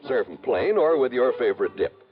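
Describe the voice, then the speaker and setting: A man's voice: mid-range voice, sing-song, fairly quick. A male American announcer with a polished sales delivery in the style of an old radio commercial. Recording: muffled vintage radio, music bed.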